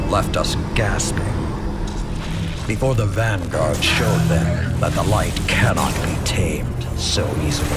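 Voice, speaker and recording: An actor playing a grim, battle-hardened military commander, bitter and resolute, narrating solemnly and deliberately in a dramatic cinematic style. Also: male voice, rumbling low, full character voice. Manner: solemn, deliberate, weighty delivery. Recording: loud music over the voice.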